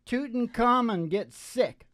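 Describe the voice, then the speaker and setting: A middle-aged man hosting a music show with playful, unhurried, rambling stage patter full of joking asides. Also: very high voice, a bit bright, no echo. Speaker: host of a music and comedy show. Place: small dim curtained stage room. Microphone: stand microphone. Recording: guitar playing behind the voice. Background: acoustic guitar.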